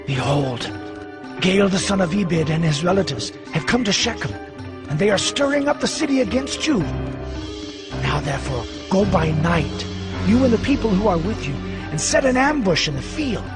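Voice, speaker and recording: Urgent high-voiced male conspirator. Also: very animated, normal speed, music in the background. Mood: urgent warning. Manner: urgent, declamatory, counselling. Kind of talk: dramatized scripture audio drama.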